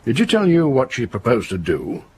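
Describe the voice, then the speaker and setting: An actor playing an unyielding police inspector in a period radio drama, questioning with deliberate authority. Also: male voice, medium pitch, huge pitch swings, darkish tone, a little hiss or hum. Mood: probing.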